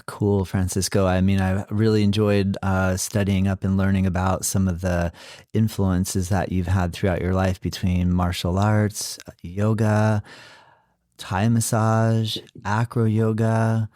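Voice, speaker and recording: A man's voice: low-pitched, flat delivery, measured pace, a little mellow, dry recording, studio quality. A man hosting a podcast interview, enthusiastic and full of filler words. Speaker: podcast host.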